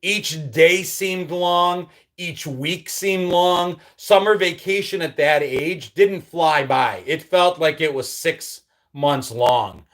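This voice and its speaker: A man's voice: a little high, takes it slowly, a bit bright. A middle-aged man from upstate New York hosting a casual live stream, reading viewer comments and reminiscing about his childhood in an easygoing, nostalgic way. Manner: reads viewer comments aloud and answers them; nostalgic, easygoing, with frequent ums and trailing drops. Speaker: livestream host.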